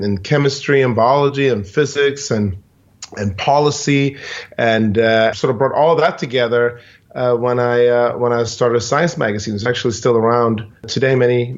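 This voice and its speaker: A man from Sweden, a guest on a podcast, explaining his background in a thoughtful, halting way. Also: medium pitch, muffled recording. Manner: thoughtful, many fillers and restarts. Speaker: guest, innovation consultant. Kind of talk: conversational interview answer.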